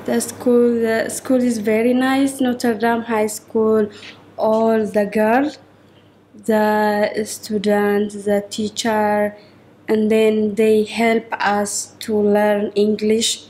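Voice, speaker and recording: A young woman giving a simple, halting personal account. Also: a little high, level tone, mellow, rough recording. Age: young adult. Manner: halting, simple second-language English. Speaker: school student. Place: indoor room.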